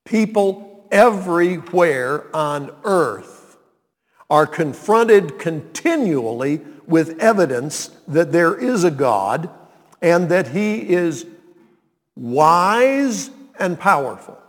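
A man, preaching a sermon and reading scripture, deliberate and emphatic. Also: a little high, big pitch swings. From North Carolina, US. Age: 90s. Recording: long reverb of a large hall.

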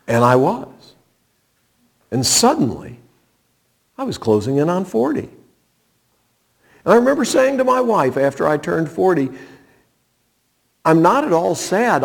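A man in his sixties preaching a sermon in a conversational, folksy way, with rhetorical questions and pauses. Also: a little high, creaky, a little mellow. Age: 60s. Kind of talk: sermon with scripture reading and personal anecdotes.

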